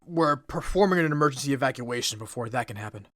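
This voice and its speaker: A man's voice: mid-range voice, animated, fast, fairly bright tone, no room sound, studio quality, lightly acted. A man voicing a strict, proud male bird guild assistant in a fan comic dub, formal and lecturing. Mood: stern.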